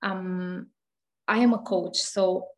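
A woman in her thirties speaking English with a Romanian accent. Her voice sits a little low. She talks casually as a podcast guest, laughing often and restarting her sentences with many fillers. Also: fairly even intonation, slow. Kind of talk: casual interview talk.

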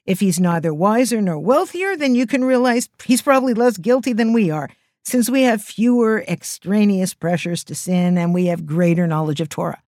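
A woman's voice: mid-range voice, lively intonation, normal speed, a little mellow, dry recording, studio quality. A middle-aged woman hosting a podcast, giving an earnest, emphatic spiritual talk. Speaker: podcast host and teacher.

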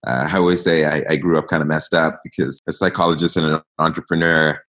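A man in his thirties with an extremely low voice, raised in East Africa. He talks as an interview guest, reflective and unhurried, and leans on fillers as he tells his story. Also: steady tone, fairly bright tone. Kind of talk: casual interview talk.

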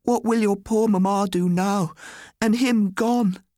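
A man's voice: high voice, steady tone, unhurried, warm tone, dry recording, studio quality, voice pushed up. A man voicing a distraught, tender-hearted rustic servant girl who wails as she speaks, in a storybook reading. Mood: wailing, grieving. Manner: wailing, rustic turns of speech.

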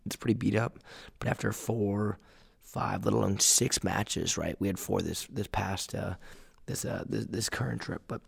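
A young man, a college volleyball player, talking casually in a vlog recap, his voice hoarse and raspy from a road trip. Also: on the low side, dry recording, clean studio sound. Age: young adult. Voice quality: raspy, gravelly.

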